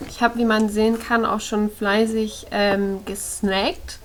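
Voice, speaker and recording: A woman's voice: medium pitch, flat delivery, slow pace, a little hiss or hum. A woman in her twenties talking casually and directly to her viewers, upbeat and chatty, filmed at home. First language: German. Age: 20s. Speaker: vlogger. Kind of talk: casual vlog to camera. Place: at home indoors.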